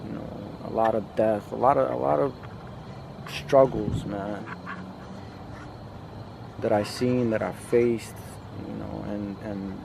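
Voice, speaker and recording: Guy w a low creaky voice, halting slangy heartfelt monologue, noisy background hum. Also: steady tone. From post-Soviet country. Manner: halting, repeats words, slangy "man" and "you know". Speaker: podcast host. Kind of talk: casual monologue to camera, reflective.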